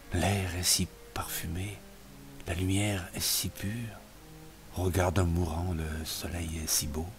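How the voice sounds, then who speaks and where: A man reciting poetry in a solemn, expressive literary style, in measured phrases that sink softer as lines end. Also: low-pitched. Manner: measured phrases falling softer at line ends. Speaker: poetry reader. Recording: music playing behind the voice. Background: music.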